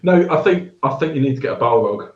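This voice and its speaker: A man, chatting casually as a show co-host, animated and quick to laugh. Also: medium pitch. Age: 30s. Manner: animated, laughing, trails off and restarts. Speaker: podcast co-host. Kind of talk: casual chat about tabletop miniatures. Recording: echoey room, dull lo-fi sound.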